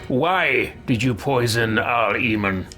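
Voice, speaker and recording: A man's voice: medium pitch, slow pace, sharp tone, soft background music. An actor playing a direct, questioning male adventurer in a fantasy video game. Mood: probing, controlled.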